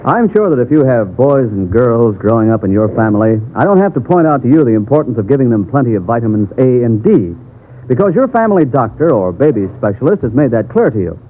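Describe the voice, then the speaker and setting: A man's voice: mid-range voice, very animated, quick pace, narrow, tinny audio, a little hiss or hum. An American man reading a scripted 1940s radio advertisement, earnest and persuasive. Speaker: commercial announcer. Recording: thin, band-limited vintage radio broadcast.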